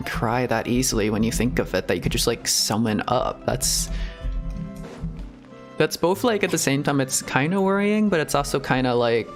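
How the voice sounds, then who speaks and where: A man's voice: medium pitch, expressive, normal speed, soft background music. A man streaming a game, chatting in a casual, confessional way, hesitant and rambling, often chuckling at himself. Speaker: streamer reacting to a video game. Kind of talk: casual, confessional chat while playing.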